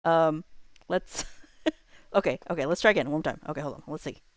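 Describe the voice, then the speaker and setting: A woman's voice: deep voice, fairly quick, no echo. A woman livestream host chatting casually, rambling and thinking aloud in an informal way.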